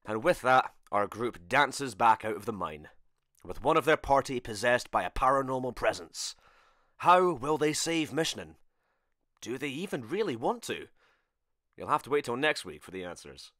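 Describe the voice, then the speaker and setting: A man's voice: mid-range voice, very animated, normal speed, a bit bright, no room sound, studio quality. A man narrating a show's outro in a mock-dramatic manner.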